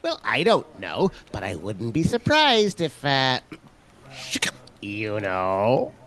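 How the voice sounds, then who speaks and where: A man's voice: medium pitch, wildly swooping pitch, slow, fairly bright tone, heavily acted. A man playing a chatty, eager, party-loyal neighbour and proud father in an audio drama. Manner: busybody, boastful, jovial.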